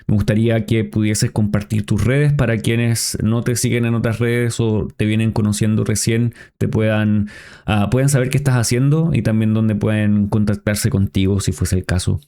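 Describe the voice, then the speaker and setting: A man hosting a podcast, talking in a calm, reflective way with many hesitant pauses. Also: lowish voice. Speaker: podcast host. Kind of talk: casual podcast conversation.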